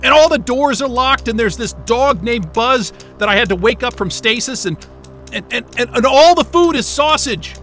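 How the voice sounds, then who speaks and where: A man's voice: extremely high pitch, lively intonation, normal speed, fairly bright tone, soft background music. An actor playing an agitated, foul-mouthed male astrophysicist in an audio drama, swearing and stammering. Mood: panicked, frantic. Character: panicked, foul-mouthed astrophysicist trapped in a remote station. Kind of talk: naturalistic audio drama acting.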